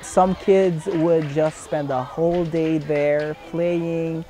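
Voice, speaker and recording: A man's voice: on the high side, steady tone, takes it slowly, mellow, faint music behind. A young man from Haiti speaking in an earnest documentary interview, with frequent fillers and restarts. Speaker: community center founder's son.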